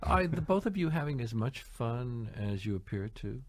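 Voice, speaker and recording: A man's voice: medium pitch, expressive, normal speed, a crowd in the background. A man hosting a radio interview, asking curious, playful questions. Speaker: radio host.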